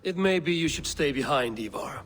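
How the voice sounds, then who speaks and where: Stoic, commanding Viking leader. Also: male voice, a little high, animated, fairly bright tone. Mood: firm, advising. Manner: measured, grave. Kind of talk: dramatic video game acting.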